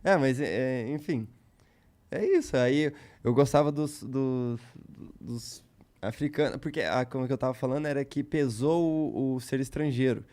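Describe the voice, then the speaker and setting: A man's voice: mid-range voice, moderate pace, a little mellow. A man with a Brazilian Portuguese accent, a guest in casual podcast chat, telling a long story loosely with slang and many fillers.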